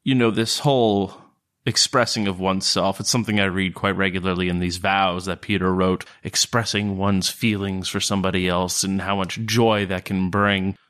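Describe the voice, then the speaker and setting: A man performs a reserved serpent-folk thinker for a tabletop fantasy game. The voice sits fairly low. He words things formally and philosophically, measured and cerebral. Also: level tone, a bit bright, clean tone. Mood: reflective, reserved. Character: stiff, cerebral male serpent-folk outcast, emotionally reserved.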